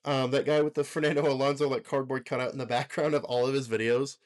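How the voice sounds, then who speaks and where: A hoarse young man recovering from a sickness, co-hosting a casual motorsport podcast in a rambling, filler-heavy way with frequent laughs. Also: medium pitch, flat delivery, fast. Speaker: podcast co-host.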